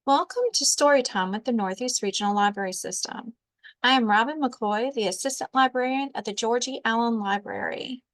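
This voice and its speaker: A woman reading a children's storybook aloud as a librarian storyteller, with deliberate, expressive narration. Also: a little high.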